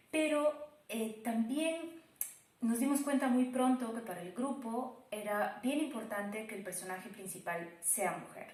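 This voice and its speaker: A woman explaining a creative project conversationally, thoughtful and often correcting herself as she goes. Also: mid-range voice. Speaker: production team member. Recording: echoey room.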